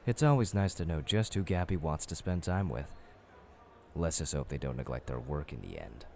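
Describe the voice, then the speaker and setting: A man playing a suave, intimidating supervisor in an audio drama, speaking in a cool, formal, measured way. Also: extremely low pitch, animated, creaky, mellow, a little hiss or hum, exaggerated voice. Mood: cool, polite. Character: suave, intimidating supervisor, secretly a famous musician.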